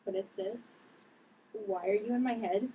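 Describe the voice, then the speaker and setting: A woman's voice: mid-range voice, moderate pace, phone-quality sound. A woman reading a tough, defensive sister with a blunt, slangy way of talking at a drama table read. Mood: confused. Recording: distant mic, clear background noise.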